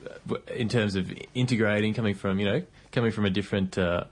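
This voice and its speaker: A man's voice: on the low side, fairly even intonation, fast. A man hosting a radio interview, asking long, rambling questions padded with "you know". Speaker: radio host.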